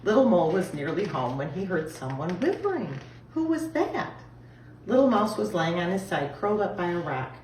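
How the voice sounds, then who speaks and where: A woman reading a children's story aloud in an expressive style, recorded in an echoey room. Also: fairly low pitch, normal speed. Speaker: storybook reader. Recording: echoey room, distant mic, faint noise.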